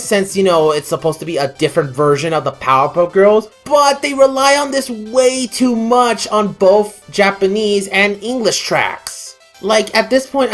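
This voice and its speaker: A reviewer giving a scripted, informal and opinionated review as host of an online show. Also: medium pitch.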